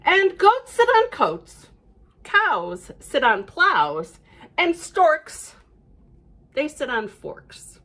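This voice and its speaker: A woman voicing a bossy, know-it-all male cat in a picture book, making emphatic, drawn-out pronouncements. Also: high voice, huge pitch swings, a bit bright, pitched above the performer's own voice, heavily acted. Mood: sure, instructive. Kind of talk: children's storybook read-aloud.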